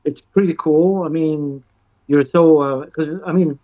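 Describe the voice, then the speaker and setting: A man's voice: on the high side, fairly even intonation, phone-quality sound. A man whose first language is Greek, a music producer guest chatting casually and eagerly, often repeating and restarting words. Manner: enthusiastic, halting, repeats words. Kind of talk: casual chat.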